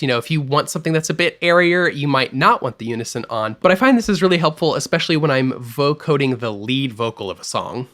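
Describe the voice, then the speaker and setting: A man teaching music production in a screen-recorded tutorial, explaining each step clearly and with upbeat energy. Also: a little high, a bit bright.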